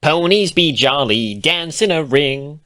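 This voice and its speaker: A man voicing an excitable, teasing female pony in a squealing, singsong, exclamatory manner. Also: on the high side, takes it slowly, voice pushed up, heavily acted.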